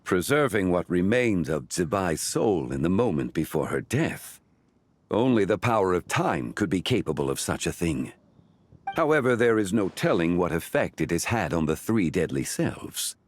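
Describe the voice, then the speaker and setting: Deep, formal ancient sage. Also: male voice, sing-song, slight background noise. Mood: grave, deliberate. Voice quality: deep, measured. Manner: formal, deliberate, archaic phrasing. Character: calm, learned, ancient male sage in a fantasy game. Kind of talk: scripted video game dialogue.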